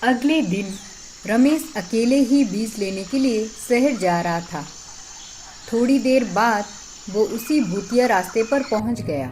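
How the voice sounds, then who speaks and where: A woman's voice: a little high, slow pace, a little mellow, a little hiss or hum, low-quality recording. A woman narrating a scripted horror story as a storyteller.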